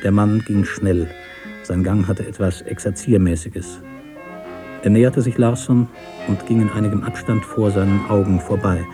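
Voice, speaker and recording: A man narrating a literary story for radio in a measured, deliberate way. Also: lowish voice, steady tone, music in the background.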